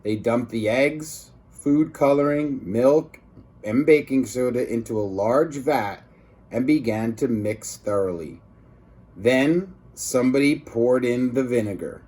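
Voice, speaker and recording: Slow-paced man reading a children's book. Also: mid-range voice, husky. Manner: expressive, emphasizing words for children. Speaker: teacher reading aloud to students. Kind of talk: children's book read-aloud.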